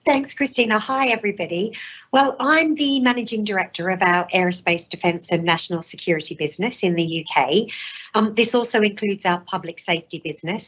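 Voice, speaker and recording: A woman from Australia, a managing director answering interview questions in a confident, articulate, measured way. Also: medium pitch, a bit bright. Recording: dull lo-fi audio.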